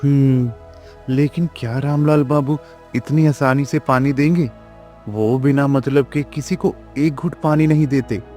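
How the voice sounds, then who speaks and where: A man's voice: mid-range voice, steady tone, measured pace, dark tone, faint music behind, close to an everyday voice. A man voicing a worried, humble village farmer in a Hindi moral-story cartoon, earnest and pleading.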